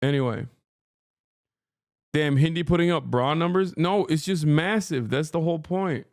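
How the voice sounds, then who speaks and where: A man's voice: on the high side, moderate pace, no echo, studio quality. A man from Turkey, a native Turkish speaker, hosting a casual live-stream reaction and bluntly teasing his viewers. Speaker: streamer host.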